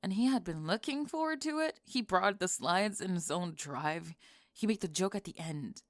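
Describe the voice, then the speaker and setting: A young woman playing a sharp-tongued, defensive goth college student who is secretly smitten, in an ASMR roleplay, speaking in halting, clipped, emphatic phrases. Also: on the low side, animated, dry recording, clean studio sound, lightly acted. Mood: earnest, confessing. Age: young adult. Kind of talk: ASMR roleplay monologue, intimate audio drama.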